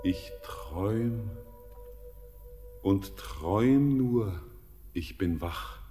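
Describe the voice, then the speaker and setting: Anguished young seaman. Lowish pitch, unhurried solemn declamation, classic radio drama. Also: expressive, over music. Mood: awed dread. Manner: solemn, theatrical, impassioned declamation. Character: anguished young orphaned sailor, awed and fearful.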